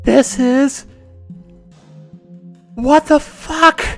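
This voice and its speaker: A man's voice: very high voice, fairly even intonation, drawn-out words, creaky, soft background music, voice pushed up, heavily acted. A man voicing an alarmed, exasperated girlfriend in a horror visual novel, with a dramatic, incredulous delivery. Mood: shocked, incredulous.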